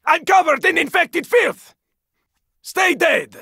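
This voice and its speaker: A battle-weary man fighting through a zombie apocalypse in a video game. His voice is pitched very high for a man and is sharp and tense, with a rough rasp. He throws out short, forceful callouts in a close, dry recording. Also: animated, slow, no echo, heavily acted. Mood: urgent, battle-ready. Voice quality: raspy, gravelly. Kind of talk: video game combat barks.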